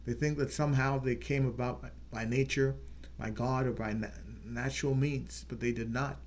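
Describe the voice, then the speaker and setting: A man's voice: medium pitch, steady tone, darkish tone, slight background noise. Earnest man, dull lo-fi sound. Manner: earnest, slows down to stress key words. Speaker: channel host. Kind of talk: unscripted political talk.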